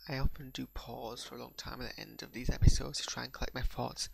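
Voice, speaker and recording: Gravelly man with a Bradford-Pakistani accent. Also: mid-range voice, level tone, fast, a little hiss or hum, poor audio. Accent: mixed Bradford and Pakistani. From England. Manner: hesitant, repeats words while gathering thoughts. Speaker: video reviewer. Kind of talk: unscripted reaction monologue.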